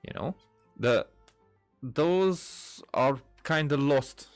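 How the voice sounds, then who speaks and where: A man's voice: mid-range voice, animated, very slow, dry recording, soft background music. A man hosting a casual game playthrough, commentating and reading dialogue aloud in a hesitant, stumbling way. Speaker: gaming video host.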